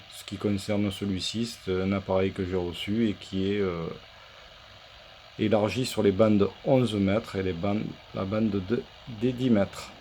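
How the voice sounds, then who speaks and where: A man presenting an unscripted workshop demonstration as a repair technician, explaining things in a halting, hesitant way. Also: on the low side, dark tone, a little hiss or hum. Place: indoor electronics workshop.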